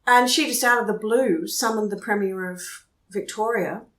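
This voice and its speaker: An Australian woman working as a photojournalist, telling stories in a chatty, lively way during a casual interview at her home. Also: medium pitch, moderate pace, roomy sound. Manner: chatty, animated storyteller. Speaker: photojournalist guest. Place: room in her house.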